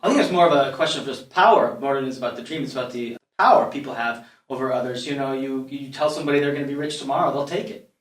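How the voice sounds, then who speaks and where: A man's voice: mid-range voice, fast, recorded from a distance. A man, an actor, talking casually and candidly in a group discussion after a play reading. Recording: roomy echo around the voice.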